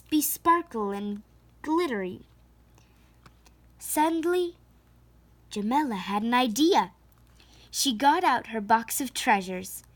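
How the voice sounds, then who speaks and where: Slow, high-voiced woman reading a storybook. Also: fairly bright tone. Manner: slow, deliberate, with emphatic phrasing. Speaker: storyteller reading a picture book aloud. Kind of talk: expressive children's read-aloud narration.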